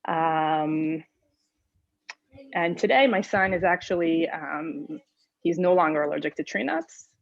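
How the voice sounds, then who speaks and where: A woman in her thirties from New York talks through her story in a chatty way, with plenty of ums. Her voice is very low and gravelly. The sound is dry and close but dull, like a video call. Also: slow, pauses often, dry recording. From New York, USA. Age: 30s. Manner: chatty, with many ums and likes. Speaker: presenter and nonprofit volunteer. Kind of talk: personal presentation.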